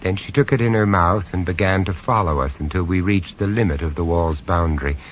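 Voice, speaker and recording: A man narrating a radio horror story in the first person, measured and dramatic, with dry sarcasm when he speaks to the others. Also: low voice, a little hiss or hum, close to an everyday voice. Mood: grave. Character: grave, wry male storyteller on a castle tour.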